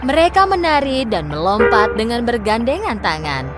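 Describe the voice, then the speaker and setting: High, lively woman telling a fairy tale. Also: moderate pace, over loud music. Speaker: storyteller. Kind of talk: scripted children's fairy tale narration.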